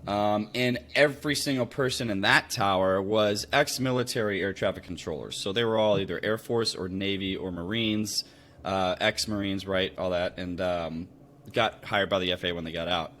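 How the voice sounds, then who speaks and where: A man's voice: deep voice, normal speed, fairly bright tone, slight background noise. An American man speaking in a casual, conversational storytelling style as a podcast guest. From Louisville, Kentucky, United States. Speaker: podcast guest, real estate investor.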